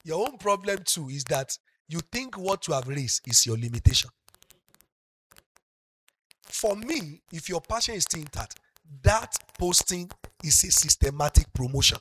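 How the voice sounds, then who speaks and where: A middle-aged Nigerian pastor with a Yoruba-speaking accent preaching a sermon, moving from soft talk up to loud, passionate shouting. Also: male voice, on the high side, animated, a little slow, long pauses, breathy edge, rough recording.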